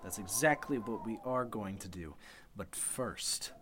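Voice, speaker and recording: A man's voice: lowish voice, animated, measured pace, fairly bright tone. An actor playing a suspicious, take-charge man in a golden-age style radio mystery, with an urgent, earnest delivery.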